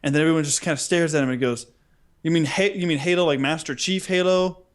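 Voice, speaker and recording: A man co-hosting a podcast, telling stories in a casual, humorous, animated and rambling way. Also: fairly high pitch, quick pace, clear, resonant tone. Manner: animated, rambling, acts out imagined dialogue. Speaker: podcast co-host. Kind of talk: casual humorous chat, storytelling.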